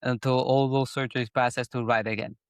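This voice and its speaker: A man from Venezuela with a Venezuelan Spanish accent, a podcast host who speaks in a halting way, restarting and repeating words. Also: mid-range voice, flat delivery, smooth, no echo. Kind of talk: casual interview conversation.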